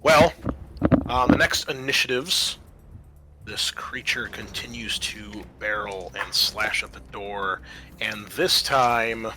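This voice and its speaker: A man's voice: fairly low pitch, takes it slowly. A man narrating a tabletop roleplaying game as game master, casual and descriptive in his delivery. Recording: music under the voice. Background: background music.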